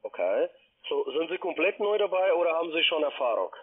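A man making a polite phone sales pitch in non-native German with grammar slips, as a call center agent. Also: very animated, fairly quick. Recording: band-limited telephone line.

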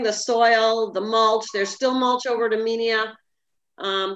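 A woman's voice: fairly high pitch, a bit bright. An older woman giving an informal committee report at a village board meeting over a video call. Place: indoor room at home on video call. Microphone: computer mic on video call. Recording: muffled video call audio.

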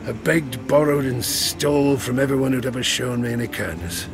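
An actor playing a rueful, ruined old harpooner and gambler with a British accent, spinning a folksy, gravelly-voiced yarn in an audio drama. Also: male voice, medium pitch, moderate pace, natural voice. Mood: haunted, regretful. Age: older. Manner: folksy, rustic, rambling tavern yarn-spinning. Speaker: storyteller. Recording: studio drama with music behind. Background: music.

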